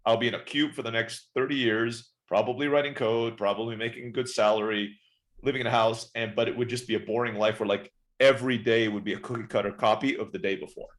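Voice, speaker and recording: A man in his forties, born in the Philippines and raised in Turkey, chatting quickly as a podcast guest telling his life story. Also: medium pitch, steady tone. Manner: rapid, chatty, often saying "right?". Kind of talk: casual interview storytelling.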